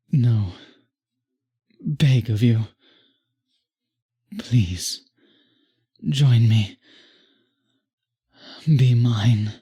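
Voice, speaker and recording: A very husky, breathy vampire played by a man in an intimate roleplay audio. He speaks slowly and formally in florid phrases, courtly yet obsessive, beseeching the listener with possessive devotion. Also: medium pitch, warm tone.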